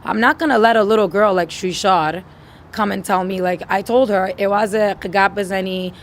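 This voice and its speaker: Woman, New York accent, medium pitch, slangy, assertive, casual interview guest, faint background noise. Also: moderate pace. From Queens, New York, USA.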